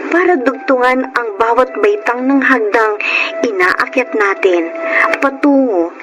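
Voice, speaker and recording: Actress for younger sister, radio drama. Gently persuasive, earnest, higher-pitched; clearly noisy background. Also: female voice, a little slow, close to an everyday voice. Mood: earnest, pleading. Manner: coaxing, earnest. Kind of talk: Filipino radio drama acting.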